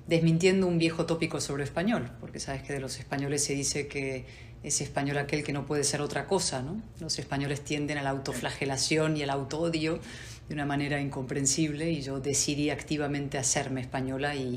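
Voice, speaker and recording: Woman, forties, very low pitch, articulate, assertive, video call, faint noise. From Spain, raised in Buenos Aires and London. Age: 40s. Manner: articulate, assertive, measured with pauses. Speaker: politician guest. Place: home study with bookshelves.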